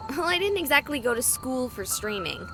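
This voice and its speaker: A woman playing a nosy, excitable young streamer in a visual novel, with a casual, sarcastic way of talking. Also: high voice, normal speed, faint music behind, exaggerated voice.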